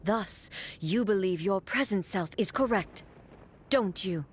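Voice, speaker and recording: A woman playing a solemn, regal warrior deity in a video game, speaking in a grave, formal, measured manner. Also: mid-range voice, lively intonation, slight background noise, close to an everyday voice. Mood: stern, challenging. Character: solemn, regal female warrior deity and her stern puppet double. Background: game music.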